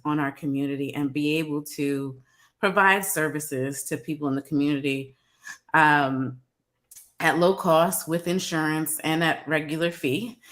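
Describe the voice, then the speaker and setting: A woman from New York, a psychologist guest on a video call, calm and measured with frequent pauses. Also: low voice. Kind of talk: interview over video call.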